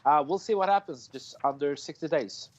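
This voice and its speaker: A man's voice: fairly high pitch, moderate pace. A man in his forties, a foreign policy analyst, speaking live from home over a video call, hesitant, with many fillers and restarts. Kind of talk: live news interview. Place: room at home. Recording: dull lo-fi video call audio.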